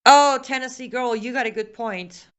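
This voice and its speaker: Woman host, Persian accent, chatty and animated on a live stream, lots of fillers. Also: a little high, moderate pace, smooth. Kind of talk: casual live Q and A, reading viewer comments.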